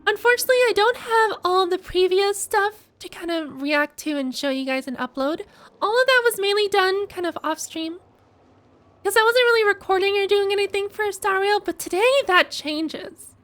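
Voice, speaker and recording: A young adult woman giving casual, playful and expressive reaction commentary as a game streamer. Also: extremely high pitch, normal speed.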